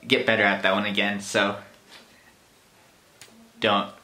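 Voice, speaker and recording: A man's voice: lowish voice, flat delivery, a little slow, sharp tone. Rambling young man vlogging. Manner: rambling, self-deprecating. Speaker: YouTuber. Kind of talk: casual vlog chat. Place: small bedroom with posters.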